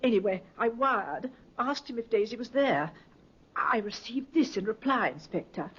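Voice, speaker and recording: A woman playing an anxious, disapproving middle-aged mother with a husky voice in an old radio drama. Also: on the high side, animated, normal speed, slight background noise, lightly acted. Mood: worried, insistent. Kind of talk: 1950s radio drama acting. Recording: muffled old radio recording.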